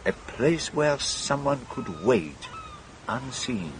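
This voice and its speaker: An actor playing a shrewd, measured private detective in a radio mystery, musing with deliberate precision. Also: male voice, mid-range voice, big pitch swings, slight background noise, low-quality recording. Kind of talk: radio mystery drama acting.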